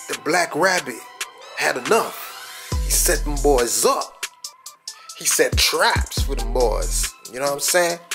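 A young American man chatting casually in a slangy, conversational way, as a vlogger from Orlando talking to camera. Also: medium pitch. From Orlando, United States. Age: young adult. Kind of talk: casual vlog chat.

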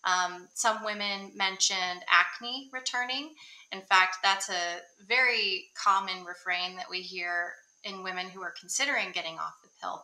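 Young woman co-host, very bright voice, measured and informative, echoey webcam sound. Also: lowish voice. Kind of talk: webcast introduction. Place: small room indoors. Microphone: webcam on video call.

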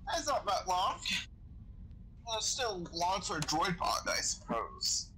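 A man's voice: high-pitched, very animated, slow pace, pushed and bright, full character voice, rough recording. A man playing a chatty, sweary sci-fi crewman who knows his weapons, improvising casually in a game roleplay. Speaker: Star Wars roleplay player. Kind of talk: improvised in-character gaming chat. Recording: gaming voice chat with background noise.

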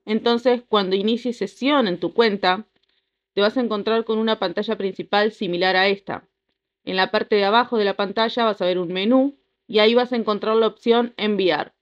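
A woman, reading a scripted app tutorial in an even, instructive way. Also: medium pitch, normal speed, lo-fi sound. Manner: even, instructive step-by-step delivery. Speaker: tutorial narrator. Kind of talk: scripted voice-over narration.

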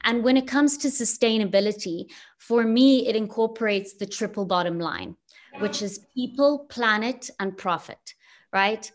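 A woman's voice: medium pitch, normal speed. A woman from Zimbabwe speaks as an entrepreneur presenting to a webinar audience, sharing her personal journey. Her talk is earnest and reflective, and she often asks rhetorical questions, heard through a video call connection.

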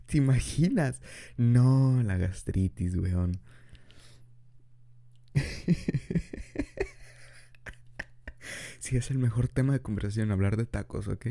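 A man's voice: mid-range voice, slow pace, vocal fry, pauses often, warm tone, no echo. A young man improvising an ASMR boyfriend roleplay, rambling chattily and laughing often into a close mic. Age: young adult.

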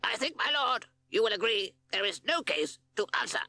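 A man voicing a sly male crow defence lawyer in a storybook, with a rasping, scornful voice. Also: high voice, very animated, normal speed, sharp tone, dry recording, voice pushed up, full character voice. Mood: sneering.

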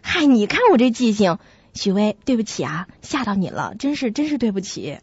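An actress playing an expressive young woman sharing a flat in a Mandarin radio drama. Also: mid-range voice, brisk, slightly rough, dry recording, full character voice. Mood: soothing. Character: young woman Xiaoqi, startled, then soothing flatmate. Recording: muffled lo-fi radio drama, faint music.

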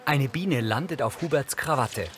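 A man's voice: medium pitch, moderate pace, noisy background. A man reading scripted audio description narration for a television film.

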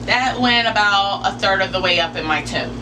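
A woman hosting an informal step-by-step how-to demonstration in a deliberate, instructional way, picked up by a camera a few metres away. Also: medium pitch. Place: enclosed porch or sunroom. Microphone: camera mic a few metres away. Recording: distant mic, clearly noisy with background hum.

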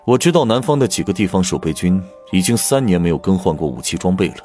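Audiobook drama prince: lowish register, swooping intonation, grave, candid, weighed down by worry. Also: male voice, lively intonation, quick pace, dark tone, faint music behind. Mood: weary, confiding. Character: imperial prince, grave, troubled, candidly lecturing on state finances.

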